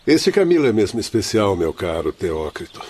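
An actor in a radio soap playing a kindly, noble older father, measured and formal. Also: on the low side, very animated, a little mellow, husky, faint music behind. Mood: warm, reflective. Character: kindly noble elder doctor, proud father. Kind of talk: radio soap opera acting.

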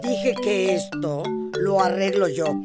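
A woman's voice: mid-range voice, takes it slowly, loud music behind the voice, lightly acted. An actress playing a stubborn, bossy older woman with a Central American Spanish accent in a radio soap opera, insistent and dismissive. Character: stubborn, bossy older matriarch, proud of her house.

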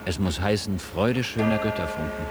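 A man's voice: deep voice, moderate pace, heavy background noise, natural voice. An older man, an actor playing an aging writer who reminisces in a wistful, ironic and literary way in a radio play. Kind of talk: radio play narration and dialogue.

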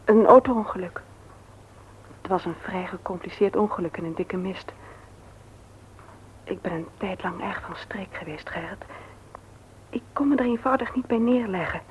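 A woman's voice: medium pitch, moderate pace, long pauses, close to an everyday voice. An actress playing a candid, talkative widowed mother in a naturalistic radio play. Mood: subdued, wistful. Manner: talkative, confiding, forthright. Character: candid, chatty widowed mother, frank about her feelings. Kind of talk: naturalistic radio drama acting. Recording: muffled, noisy old radio play recording.

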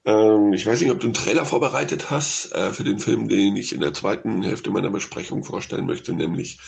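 A man's voice: on the low side, a little fast, vocal fry, a little mellow. A man giving an unscripted film review as a radio critic, hesitant and thinking aloud as he goes.

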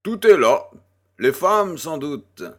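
Man playing a pompous baron, leisurely and lively. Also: a little high, heavily acted. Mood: amused, approving. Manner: pompous, effusive, drawn-out courteous phrasing. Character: jovial, chatty French baron, worldly and effusive. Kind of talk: audio drama acting.

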